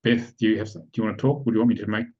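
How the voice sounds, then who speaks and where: A man hosting an online webinar, explaining calmly and checking in often with the people listening. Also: lowish voice, very fast, slightly rough, dull audio. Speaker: webinar host and spiritual teacher. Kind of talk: live online webinar teaching.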